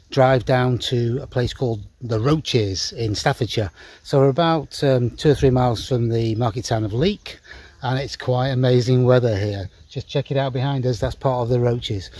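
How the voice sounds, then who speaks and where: A man's voice: mid-range voice, warm tone, no echo. A man in his sixties hosting a hiking vlog, chatting enthusiastically to a phone held at arm's length in open countryside. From England. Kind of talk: casual outdoor vlog chat. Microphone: phone selfie at arm's length.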